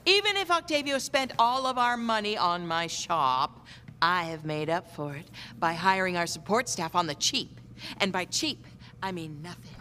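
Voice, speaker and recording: A woman's voice: on the low side, normal speed, bright, forceful tone, long reverb tail, slight background noise. A woman playing a brash, lustful shop owner in a video game, bossy and theatrical, with a commanding drawl.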